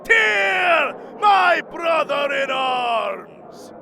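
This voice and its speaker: Gravelly, proud king of gods played by a man. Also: extremely high pitch, big pitch swings, extremely slow, pushed and bright, raised voice, some chatter behind, a little hiss or hum, exaggerated voice, low-quality recording. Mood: hearty. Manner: regal, formal, imperious. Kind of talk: audio drama acting.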